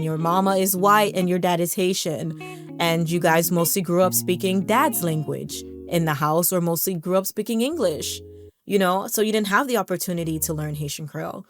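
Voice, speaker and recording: A young American woman vlogger talking to camera in a casual, encouraging way, often repeating words as she restarts. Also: lowish voice. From United States. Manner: conversational, stumbles and repeats words, laughs now and then. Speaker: language vlogger.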